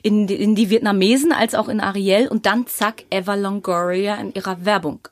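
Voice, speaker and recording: A woman's voice: mid-range voice, steady tone, normal speed, no echo. Chatty woman interviewer. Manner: chatty, encouraging questions. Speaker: interviewer, host. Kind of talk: casual interview.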